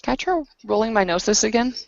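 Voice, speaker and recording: A woman playing a tabletop roleplaying game, chatting casually with a creaky voice. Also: fairly high pitch, expressive, quick pace, dry recording. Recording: close dull lo-fi recording.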